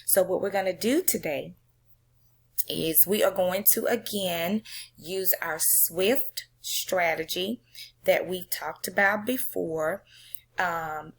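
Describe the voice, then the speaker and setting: Slow-talking woman teacher, lowish voice. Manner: checks in often with okay and right; reads the poem slowly with drawn-out emphasis. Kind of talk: poetry reading and classroom lesson.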